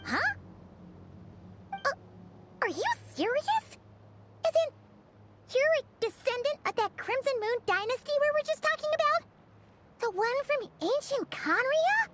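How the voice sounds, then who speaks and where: A little floating fairy girl for a video game. Her childlike, squeaky voice sits extremely high and bounces around in pitch. She is curious and exclamatory, captured with some noise behind her. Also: expressive, measured pace, pauses often. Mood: surprised, incredulous. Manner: exclamatory, refers to herself by name.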